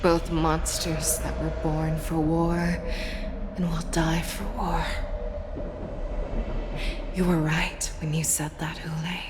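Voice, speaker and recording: An actress playing a solemn, resolute warrior general in a video game, speaking gravely with dramatic pauses. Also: female voice, sits low, flat delivery, background noise. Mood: solemn resolve.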